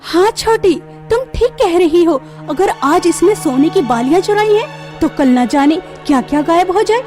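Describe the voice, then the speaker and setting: A woman acting cruel cartoon aunt, very high voice, spiteful, scornful, accusing, faint music underneath. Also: animated, moderate pace, warm tone. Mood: scornful, angry. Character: spiteful scolding aunt, cruel household bully. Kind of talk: melodramatic moral-story cartoon acting.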